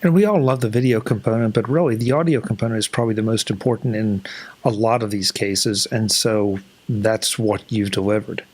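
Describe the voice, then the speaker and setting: A man's voice: fairly low pitch, a little fast, a little mellow. An older man, hosting a conversational interview over a video call from a small office, close to a desk microphone. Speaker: show host interviewer.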